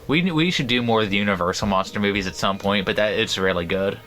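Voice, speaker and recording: Bright-voiced man chatting on a podcast. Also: on the low side, slight background noise. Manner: laughs along, quick asides. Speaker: podcast co-host. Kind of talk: casual podcast chat.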